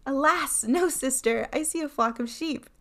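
A young sister in a storybook who calls down what she sees from her lookout, as a woman reader voices her. Her voice is high and carries. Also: moderate pace, a bit bright. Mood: watchful.

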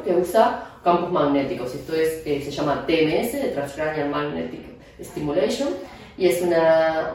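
A woman's voice: on the low side, a little mellow. A woman with an Argentine accent, a neurologist explaining her work in an interview with frequent fillers and restarts. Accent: Argentine Spanish. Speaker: neurologist guest. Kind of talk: interview answers. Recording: echoey room, distant mic.

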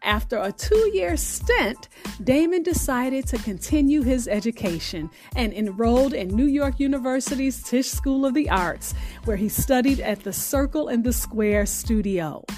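A woman radio host reading a scripted show introduction with deliberate, announcer-like phrasing. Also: high voice, animated, a little mellow. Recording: music bed behind the voice. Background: music.

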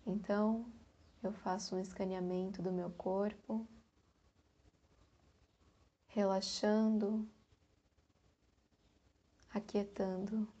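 A Brazilian woman guiding a meditation in a soft, breathy, calm voice, leaving long pauses between short phrases. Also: medium pitch, dull audio. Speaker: meditation guide. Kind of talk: guided meditation.

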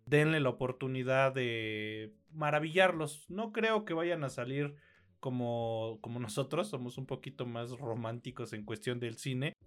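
A man with a Mexican accent co-hosting a film review podcast, speaking in measured, articulate phrases. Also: medium pitch. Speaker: podcast co-host.

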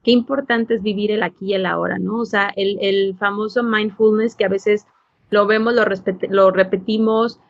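A woman's voice: medium pitch, fairly even intonation, normal speed, vocal fry, no echo. A woman with a Mexican accent hosting a podcast, reflecting casually and emphatically with rhetorical check-ins, on a dull recording. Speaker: podcast host.

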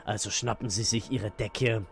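A man's voice: lowish voice, steady tone, normal speed, vocal fry. A man hosting a podcast in a casual, playful, jokey way, with swearing and asides. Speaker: podcast host. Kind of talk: casual chat with playful intro reading. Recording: loud music behind the voice. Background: eerie ambient music.

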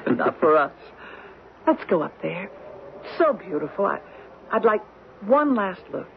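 An actress playing a playful, romantic young newlywed wife in an old radio drama. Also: female voice, mid-range voice, sing-song, measured pace, creaky. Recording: muffled old radio broadcast with hiss.

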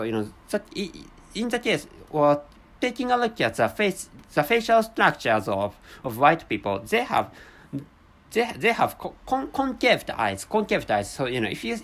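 Man, Japanese accent, non-native speaker; hesitant solo monologue, animated pitch. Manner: halting, searching for words, self-correcting. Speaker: vlogger. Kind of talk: unscripted monologue in a second language.

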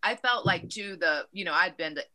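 A woman's voice: fairly low pitch, moderate pace, pushed and bright, poor audio. A woman from Virginia with a Southern accent, chatting casually on a podcast and often restarting her sentences. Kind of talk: casual chat.

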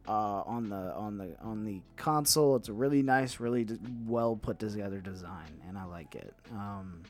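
A man with a low voice talks casually as a podcast co-host. His delivery is loose and rambling, with hesitant stops and repeated words as he works through gaming news. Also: moderate pace.

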